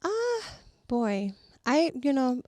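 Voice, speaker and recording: Soft-spoken woman guest. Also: high voice, very animated, drawn-out words, no room sound. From Haiti. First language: Haitian Creole. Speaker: guest singer. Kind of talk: interview chat.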